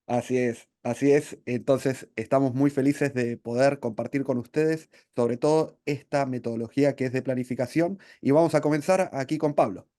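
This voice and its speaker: A man from Argentina with an Argentine accent, co-presenting a live webinar in a friendly, measured way. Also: mid-range voice, fairly even intonation, dark tone, no echo, clean studio sound.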